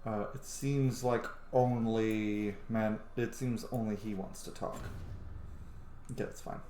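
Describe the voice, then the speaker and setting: A man's voice: lowish voice, steady tone, slow pace, a little hiss or hum. Roomy-sounding man chatting over a game. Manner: informal, opinionated, swears. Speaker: let's play host. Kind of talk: casual gameplay commentary. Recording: roomy echo, loud game music behind. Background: game music and sound.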